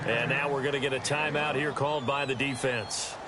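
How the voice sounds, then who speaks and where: A man playing an American TV play-by-play football announcer in a video game, brisk and professional. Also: medium pitch, fairly even intonation, heavy background noise, close to an everyday voice. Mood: measured. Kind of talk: sports commentary in a video game.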